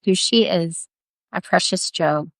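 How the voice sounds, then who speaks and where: A woman's voice: lowish voice, takes it slowly, no echo. A woman leading a guided visualization in a tender, earnest, coaxing way, improvising as she goes and repeating her phrases. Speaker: guided meditation leader.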